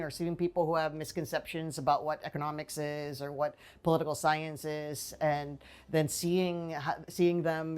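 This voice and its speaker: A woman professor in her forties, originally from the Philippines, answers interview questions in a low voice. She speaks thoughtfully, pausing with fillers and restarting her sentences. She is recorded on a lapel mic in a small office. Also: a little mellow, smooth. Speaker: political science professor. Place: small office with bookshelves, indoors.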